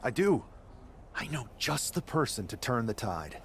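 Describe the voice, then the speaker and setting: An actor playing a loyal, wary, determined wrestler in a video game, sincere and conversational. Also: male voice, mid-range voice, big pitch swings, measured pace, fairly bright tone, a little hiss or hum. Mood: confident. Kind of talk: scripted video game cut-scene dialogue.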